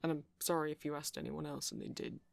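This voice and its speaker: A man's voice: on the high side, level tone, fast, gravelly, dry recording. A man playing a nerdy, kindly vampire who rambles hesitantly and reassuringly in an ASMR roleplay. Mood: earnest, reassuring. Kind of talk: ASMR roleplay, soft conversational monologue.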